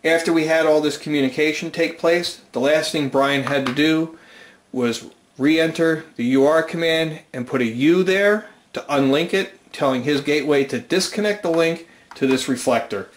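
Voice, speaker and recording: The room rings noticeably around an American man's slightly high voice. He explains like a hobbyist host at a whiteboard, informal but methodical, laying things out step by step. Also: normal speed. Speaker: amateur radio channel host, presenter. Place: small indoor room at a whiteboard. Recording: roomy, reverberant indoor sound.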